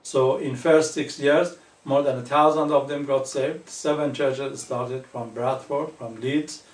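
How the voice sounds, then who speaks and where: A man's voice: medium pitch, steady tone, a little slow, far from the mic. A man from Iran with an Iranian accent, a native Farsi speaker, giving fervent religious testimony in simple English as an interview guest. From northeastern Iran. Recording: echoey room, away from the mic.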